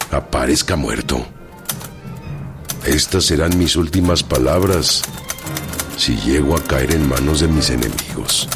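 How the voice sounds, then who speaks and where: An actor with a Mexican accent playing a proud, loyal, imprisoned revolutionary in a radio drama, speaking in a slow, plain-spoken way. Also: male voice, a bass voice. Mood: grave, pleading. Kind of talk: radio drama, letters read aloud. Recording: faint music behind.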